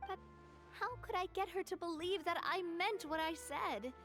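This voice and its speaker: A woman's voice: extremely high pitch, normal speed, fairly bright tone, faint music behind. A young woman voicing a friendly, assured gang leader in a video game, speaking earnestly.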